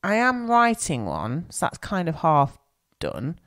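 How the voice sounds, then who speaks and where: A woman's voice: fairly low pitch, wildly swooping pitch, measured pace, fairly bright tone. A woman with an English accent co-hosting a podcast, chatting in a rambling way, searching for words while she has a cold. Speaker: podcast co-host. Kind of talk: casual chat.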